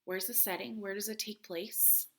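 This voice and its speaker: A woman's voice: mid-range voice, steady tone, normal speed, a bit bright. A woman teacher doing a read-aloud for young children, talking to them directly with questions and prompts. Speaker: primary school teacher.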